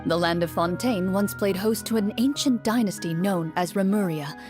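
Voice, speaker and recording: A woman playing a composed young swordswoman in a video game, explaining things in a measured, formal, matter-of-fact way. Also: medium pitch, over music, natural voice.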